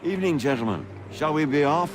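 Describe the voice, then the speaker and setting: An actor playing a confident colonial rebel leader in a video game, with a breezy, genial and urbane manner. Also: male voice, on the high side, animated, brisk, music in the background, close to an everyday voice. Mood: brisk, assured.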